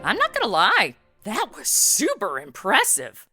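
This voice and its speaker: A woman's voice: medium pitch, wildly swooping pitch, measured pace, over loud music. A woman playing a snarky modern young superhero sidekick in a comedy audio drama, tossing off dry, sassy asides. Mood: mocking.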